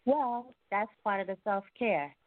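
A woman's voice: medium pitch, unhurried, slight background noise. A woman talking casually as an interview guest over a call. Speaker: guest, singer and entrepreneur. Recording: thin phone-like call audio.

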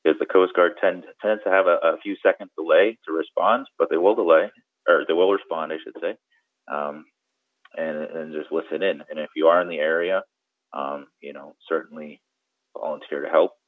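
A man's voice: extremely low pitch, fairly even intonation, moderate pace, no echo, narrow, tinny audio. A man giving conversational, step-by-step instruction as an expert guest, often checking in with the listener. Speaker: guest boating radio expert.

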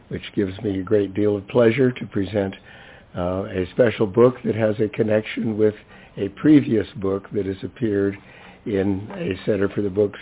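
An older man with a hoarse voice gives an informal, chatty, lightly humorous introduction at a public event. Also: fairly low pitch. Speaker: library center director introducing a speaker. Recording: thin, phone-like event recording.

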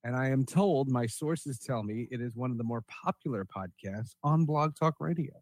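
A man hosts a casual podcast discussion in a mid-pitched voice. He speaks in a halting, conversational way, doubling back on words, and the sound is dry and close. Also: no room sound. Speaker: podcast host.

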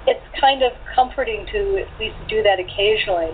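A woman's voice: mid-range voice, a little fast. A woman chatting casually in a group conversation, with a rambling way of talking, over thin call audio. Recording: noisy, phone-like remote call audio.

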